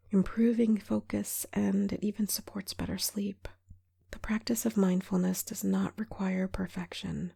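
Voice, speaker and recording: Female meditation guide, whispery and soothing, mellow tone, very even delivery with gentle pauses. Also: mid-range voice, dry recording. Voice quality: breathy, whispery. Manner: calm, soothing, gentle, unhurried with long pauses. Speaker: meditation guide, yoga teacher. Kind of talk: guided meditation, soft-spoken.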